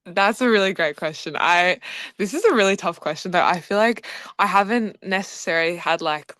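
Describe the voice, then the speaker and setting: An upbeat, chatty seventeen-year-old girl raised in Australia, whose first language is Hungarian. She answers interview questions in a relaxed way, full of "like" and little laughs. The recording is close and dry. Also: lowish voice, moderate pace, dry recording. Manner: upbeat, chatty, lots of "like" and "oh my goodness", laughs often. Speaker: basketball player, interview guest.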